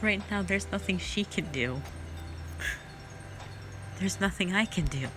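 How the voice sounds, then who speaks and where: A woman voicing a gentle, protective young woman in an audio roleplay drama, speaking in an earnest, consoling way. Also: mid-range voice, a little slow, over music, close to an everyday voice. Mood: sad, determined. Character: gentle, protective young woman comforting her hurting friend.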